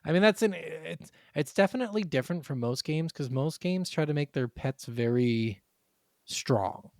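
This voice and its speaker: Joking, higher-voiced male podcast co-host. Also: lively intonation, normal speed, vocal fry, a little mellow, dry recording, studio quality. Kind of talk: casual chat about video games.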